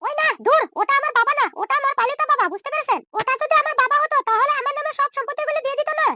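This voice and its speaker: A man's voice: very high-pitched, racing, sharp tone, no echo, studio quality, heavily acted. A man voicing a shameless, quarrelsome, scheming young woman in an amateur comedy cartoon, ranting and taunting through a chipmunk-like voice effect. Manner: scolding, ranting in short repeated taunts.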